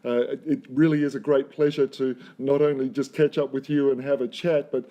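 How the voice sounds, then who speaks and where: A man hosting an interview, summing up his guest's answers in a measured, encouraging way. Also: medium pitch, little pitch movement, mellow. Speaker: interviewer host.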